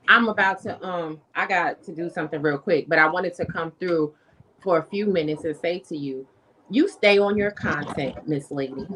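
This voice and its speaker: A woman with a fairly low voice joins a live stream as a caller, speaking casual encouragement with religious conviction. A faint hiss sits under her. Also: rough recording. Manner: warm encouraging, faith-based words. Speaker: guest caller. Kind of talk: casual supportive chat.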